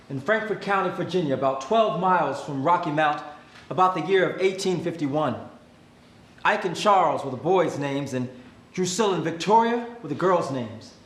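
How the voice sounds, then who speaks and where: A man plays a freed younger brother looking for his lost brothers and sisters. It is an earnest stage reading in a fairly high male voice, with clear room echo. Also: lightly acted. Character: formerly enslaved younger brother seeking lost siblings. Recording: echoey hall.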